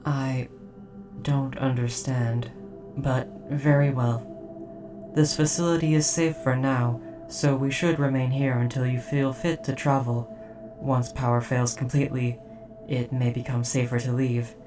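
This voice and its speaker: Audio drama robot soldier, played by an actor: deadpan, measured, protective, monotone delivery, slightly off-mic. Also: medium pitch, far from the mic, over music, close to an everyday voice. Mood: calm, protective. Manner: formal, measured, literal, deadpan. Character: stoic protective military robot, old, caring, formal. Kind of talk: scripted audio roleplay.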